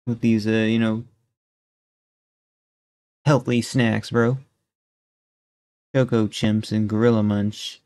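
A man's voice: fairly low pitch, flat delivery, slow pace, pauses often, darkish tone. A young man giving laid-back, slangy reaction commentary as a streamer at his desk. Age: young adult. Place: indoor room at a desk.

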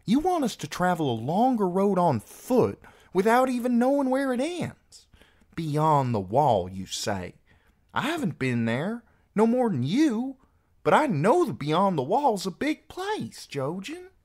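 A man's voice: high-pitched, wildly swooping pitch, measured pace, a little mellow, pitched above the performer's own voice, full character voice. A man voicing a feisty, argumentative young teenage girl in a storybook reading. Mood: exasperated. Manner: insistent, questioning, argumentative.

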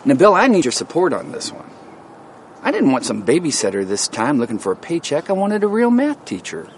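An actor playing an upbeat small-town school principal, folksy and persuasive, outdoors by a car. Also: male voice, fairly high pitch, wildly swooping pitch, fairly quick, a little hiss or hum.

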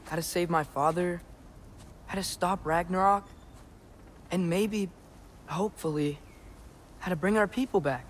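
Earnest teenage boy hero, higher-pitched. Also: a little hiss or hum. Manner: hesitant, halting. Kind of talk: video game voice acting.

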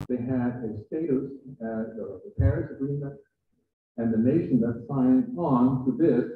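Guy presenting to a university crowd, chatty, asks the room stuff, far off mic, thin phone-ish sound. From Denmark. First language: Danish. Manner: conversational, quizzes the audience. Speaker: guest presenter. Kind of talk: lecture presentation. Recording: distant, roomy, tinny with faint rumble.

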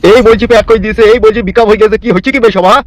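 A man's voice: sits high, fairly even intonation, rapid-fire, no room sound, natural voice. A man voicing an irritable, foul-mouthed gang boss who scolds impatiently in a comedy cartoon. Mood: irritated scolding. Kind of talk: Bengali comedy cartoon dubbing.

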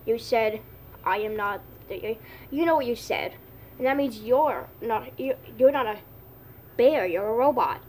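A woman's voice: on the high side, lively intonation, measured pace, a little mellow, a little hiss or hum. A woman voicing an easily offended robotic dog in an amateur cartoon skit, with stilted, machine-like announcements. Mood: indignant, stilted. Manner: stilted robotic announcements among plain talk.

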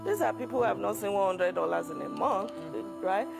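A woman's voice: medium pitch, lively intonation, fairly quick, creaky. A woman speaking earnestly as a guest in a TV panel discussion. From Nigeria. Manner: earnest, explanatory. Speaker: TV talk show guest. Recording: TV clip with loud music bed. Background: loud music.

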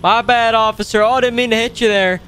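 A man hosting a gaming video with casual, playful roleplay commentary, excitable and given to exclaiming. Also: extremely high pitch, fast talker, a little hiss or hum. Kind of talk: casual improvised roleplay commentary over gameplay.

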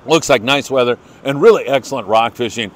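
A man's voice: mid-range voice, fairly quick, a little hiss or hum. An older American man hosting a casual fishing report, chatty, folksy and enthusiastic. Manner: chatty, enthusiastic, folksy, congratulating people by name. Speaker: host of a fishing report show.

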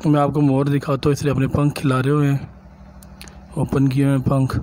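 Man, village vlogger, even flat delivery, mellow tone, outdoors, phone mic. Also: medium pitch, fairly quick, slight background noise. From Punjab, Pakistan. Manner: calm, explanatory, addresses viewers directly. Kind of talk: walking tour narration.

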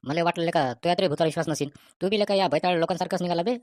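A woman's voice: fairly low pitch, rapid-fire, darkish tone, no room sound, lightly acted. A woman voicing a brash, skeptical young village man with a rural Vidarbha Marathi accent in comic cartoon dialogue. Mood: scornful.

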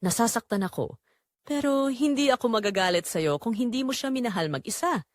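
Hurt wife for radio-style drama, woman narrator acting, quiet reproach, restrained temper, dry close sound. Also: fairly high pitch, lively intonation, a little fast, no room sound, voice pushed up, natural voice. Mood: wounded restraint. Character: hurt, restrained wife confronting her husband and caregiver.

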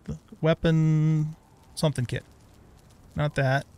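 Casting: middle-aged male gamer host, gravelly fry, slightly high pitch, casual rambling commentary. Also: little pitch movement, takes it slowly, a little mellow. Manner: rambling, thinking aloud. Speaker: let's play host.

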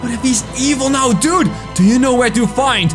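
A man in his twenties hosting a gaming video with excited, playful, exclamatory commentary, close on the mic. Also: very high-pitched, normal speed, music in the background. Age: 20s.

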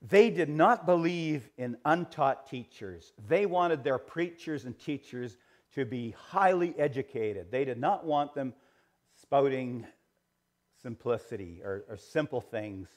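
A middle-aged man teaching a church history Sunday school class in a small sanctuary, gesturing as he talks, with frequent fillers and restarts and a voice that trails off quietly. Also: medium pitch, lively intonation, a little slow.

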